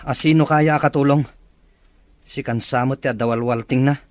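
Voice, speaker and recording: An actor playing a grumbling, demanding husband in a radio-style family drama. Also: male voice, medium pitch, steady tone, moderate pace, lightly acted. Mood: irritated.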